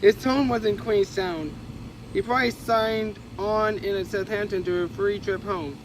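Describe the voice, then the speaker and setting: A man with a high-pitched voice reads from a history book, tripping over words and correcting himself as he goes. His delivery is casual and unpolished. Street and car noise can be clearly heard behind him. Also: unhurried. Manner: casual, stumbling reading with self-corrections. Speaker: reader. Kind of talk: reading a history book aloud with asides.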